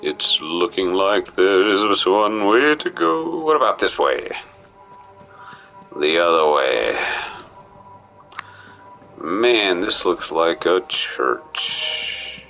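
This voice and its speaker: A man's voice: low-pitched, expressive, very slow, vocal fry, long pauses, bright, forceful tone, faint music behind. A man streaming a game with casual commentary, musing aloud and breaking into playful theatrical outbursts. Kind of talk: casual live gameplay commentary.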